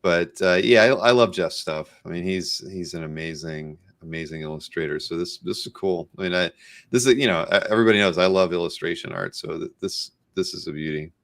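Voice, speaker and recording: Low-pitched man, dry close sound, chatting. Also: fairly quick, fairly bright tone, dry recording. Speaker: interview host. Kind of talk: casual interview chat.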